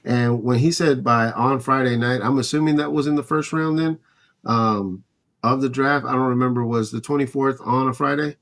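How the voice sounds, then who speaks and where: A man with a medium-pitched voice hosts a casual sports talk show. He speaks conversationally, recounting events loosely and speculating as he goes. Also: steady tone, moderate pace, fairly bright tone. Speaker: podcast host.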